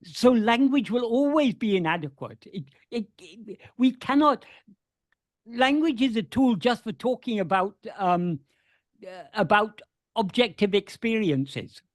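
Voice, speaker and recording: A man explains a spiritual teaching over a video call in an earnest, searching way, often repeating words before going on. His voice sits high and swings widely in pitch. The sound is dry and close. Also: lively intonation, a little slow, dry recording. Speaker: spiritual teacher answering questions.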